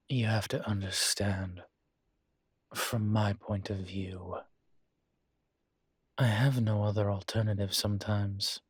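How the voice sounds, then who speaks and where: A man playing a weary incubus college professor, gruff but fond, in an intimate scripted audio roleplay, speaking haltingly with sighs. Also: on the low side, fairly even intonation, no echo, studio quality. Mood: weary, candid. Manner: slow, halting, sighing, candid.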